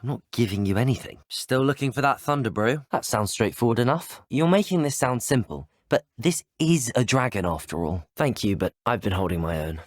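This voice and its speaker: An actor playing a polite, earnest teenage student wizard in a video game, with a British accent and courteous, articulate speech. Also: male voice, medium pitch, lively intonation, brisk, hardly any pauses, dry recording, studio quality, close to an everyday voice. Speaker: video game player character. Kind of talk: scripted game dialogue.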